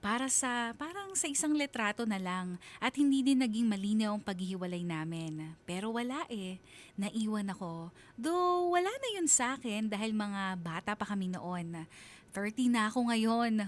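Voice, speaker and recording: A woman's voice: lively intonation, moderate pace, natural voice. An actress with a Filipino accent playing a wistful woman of thirty confiding her love story as radio drama narration. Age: 30s. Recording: faint rumbling background noise.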